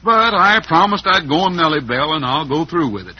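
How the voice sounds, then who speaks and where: Man playing folksy good-natured cowboy, lively voice, old faintly hissy radio show. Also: on the high side, fairly quick, close to an everyday voice. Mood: genial, wry. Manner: relaxed, folksy, wry. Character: easygoing, good-natured male cowboy hero. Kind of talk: old-time western radio drama acting. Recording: muffled old radio recording.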